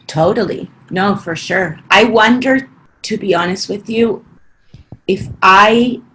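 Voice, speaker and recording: A woman's voice: mid-range voice, low-quality recording. A podcast guest from Argentina, a woman with a slight Argentine Spanish accent, chatting casually and acting out her own past thoughts. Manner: animated, quick, acts out her own past thoughts in quotes. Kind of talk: casual interview chat.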